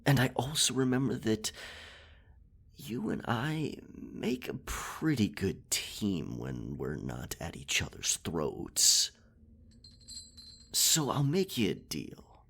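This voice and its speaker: Husky, roguish captain, swinging wildly. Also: male voice, fairly low pitch, very animated, takes it slowly, a bit bright, exaggerated voice. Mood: coaxing, conspiratorial. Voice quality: husky, breathy. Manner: theatrical, indignant outbursts, teasing asides. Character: boastful, roguish, flirtatious male pirate captain. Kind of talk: roleplay ASMR monologue.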